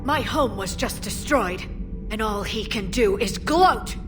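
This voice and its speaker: A woman's voice: mid-range voice, animated, a little slow, lightly acted. An actress playing an earnest, grieving young alien woman scientist in a video game drama. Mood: indignant, hurt. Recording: music behind the voice.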